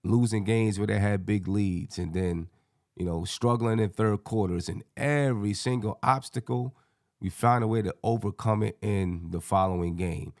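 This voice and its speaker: Man, low gravelly hoarse voice, slangy casual sports talk, panel show host, dry close sound. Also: normal speed, dry recording. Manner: slangy, colloquial. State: hoarse, scratchy voice.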